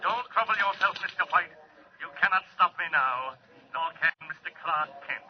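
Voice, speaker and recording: Cold, formal male villain played by a man for a 1940s radio serial, slightly high voice, muffled noisy recording. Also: close to an everyday voice. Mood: gloating, menacing. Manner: formal, deliberate, threatening. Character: menacing male criminal mastermind, cold and commanding. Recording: noisy, band-limited old radio recording.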